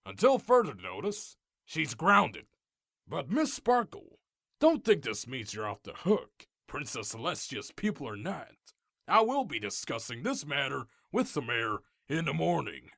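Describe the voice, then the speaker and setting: A man's voice: mid-range voice, very animated, unhurried, gravelly, fairly bright tone, no echo, clean studio sound, full character voice. A man voicing a stern, indignant wealthy stallion father in a dramatized story, with a firm, formal, scolding manner.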